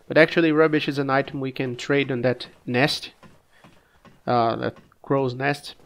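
A man with a cold casually commenting on his own gameplay, relaxed and halting, coughing now and then. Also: mid-range voice. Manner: relaxed, halting, frequent restarts and fillers. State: sick, coughing.

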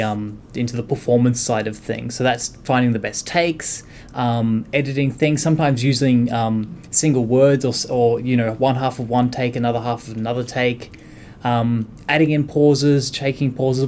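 A man in his twenties explaining his editing work casually and haltingly, thinking aloud. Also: medium pitch, fairly even intonation, a little mellow, slight background noise. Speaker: audio editor. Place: indoor radio studio room.

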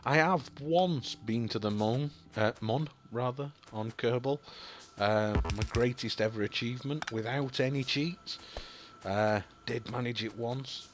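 A man's voice: mid-range voice, a little slow, background noise. A young man with a Yorkshire accent, rambling and thinking aloud as he commentates on a building game, full of ums and apologies. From Yorkshire, England. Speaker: gaming YouTuber. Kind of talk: casual gameplay commentary.

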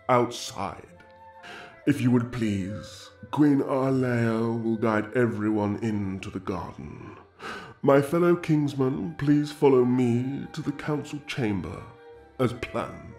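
Man voicing a stately, slow-speaking elf king. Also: medium pitch, a little mellow, close to an everyday voice. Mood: regal, gracious. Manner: formal, regal, diplomatic. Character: stately, authoritative male elf high king. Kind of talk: fantasy roleplay audio drama.

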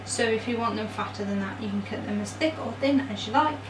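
A young woman with a fairly high voice chats informally through a home baking demonstration, poking fun at herself as she goes. The sound is roomy, with the camera some way off and noticeable noise behind her. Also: quick pace. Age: young adult. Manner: informal, self-deprecating, unscripted asides. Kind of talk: casual chatty cooking demonstration. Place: small home kitchen, indoors. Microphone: camera mic a metre or two away. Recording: roomy, distant mic, noisy background.

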